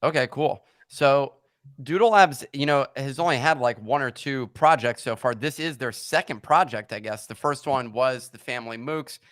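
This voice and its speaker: A man's voice: mid-range voice, moderate pace, a bit bright, no echo, clean studio sound. A man co-hosting a podcast and putting casual interview questions to a guest. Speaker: podcast co-host.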